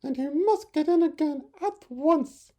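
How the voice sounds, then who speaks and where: An anxious storybook mother bird acted out by a man speaks in a very high falsetto. She is protective and fretful, with urgency in her delivery. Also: measured pace, warm tone. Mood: anxious, urgent.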